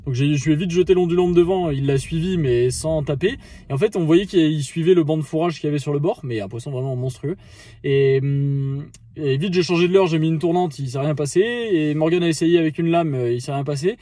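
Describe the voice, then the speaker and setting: A man's voice: on the high side, a little fast, no room sound. A man in his thirties recapping a fishing trip to camera in casual, slang-filled talk with lots of fillers, filmed in a car on a phone held at arm's length. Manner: slangy, many fillers, excited storytelling. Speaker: fishing vlogger. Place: inside a parked car.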